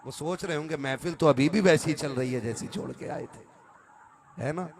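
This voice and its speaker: A man's voice: a little high, normal speed, vocal fry. A man delivers a conversational religious sermon to a live gathering, urging listeners to respond and at times reciting verse. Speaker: Islamic preacher.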